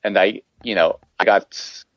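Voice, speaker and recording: A man's voice: fairly low pitch, fairly even intonation, a little slow, no echo, lo-fi sound. A middle-aged man from Portugal, a triathlon coach, talking casually in an interview with many fillers and restarts. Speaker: triathlon coach, podcast guest.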